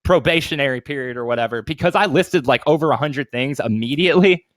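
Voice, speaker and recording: A man's voice: mid-range voice, quick pace, dry recording. A man in his thirties chatting casually as a podcast co-host, informal and swearing freely with lots of dude slang. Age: 30s. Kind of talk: casual chat.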